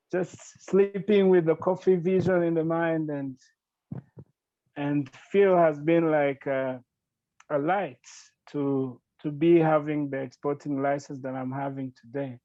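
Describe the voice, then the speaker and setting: A man from Rwanda, speaking earnestly and gratefully as a podcast guest, with halting restarts. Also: a little high, steady tone, warm tone, dry recording, muffled recording. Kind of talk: podcast interview.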